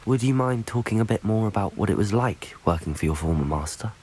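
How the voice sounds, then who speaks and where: An actor playing a young student wizard in a video game, polite, keen and composed, with an inquisitive manner. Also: male voice, fairly low pitch, lively intonation, a little fast, a little mellow, slight background noise, close to an everyday voice. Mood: polite, curious. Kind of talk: video game character dialogue.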